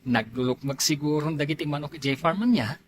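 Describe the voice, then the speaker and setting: An actor with an Ilocano accent playing a fretful, questioning husband in a comedy drama. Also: male voice, fairly high pitch, brisk, a little hiss or hum, lightly acted. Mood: casual. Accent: Ilocano, northern Philippines.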